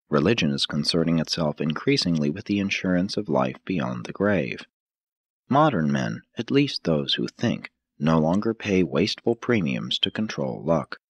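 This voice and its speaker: A man narrating an audiobook from a script in a formal, measured manner. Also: deep voice, breathy edge, no room sound.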